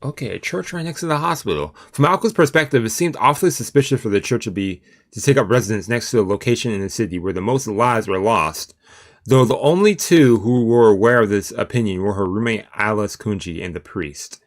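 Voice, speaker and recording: A man's voice: on the low side, brisk. A man reading a visual novel aloud as a let's play, with casual asides and stumbles over long words. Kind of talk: let's play commentary and read-aloud narration. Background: video game music.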